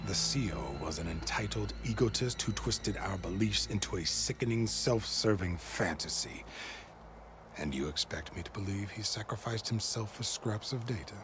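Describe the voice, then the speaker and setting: A man's voice: on the low side, lively intonation, moderate pace. An actor playing a shrewd, commanding male leader in a video game, speaking formally and imperiously with cool threat. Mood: stern, skeptical. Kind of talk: video game cutscene acting. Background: clear cinematic music.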